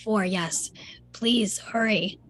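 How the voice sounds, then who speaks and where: A woman's voice: medium pitch, slow pace, fairly bright tone, slight background noise. An actress playing a sensitive, troubled, introspective young woman in a screenplay table read. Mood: shocked, urgent.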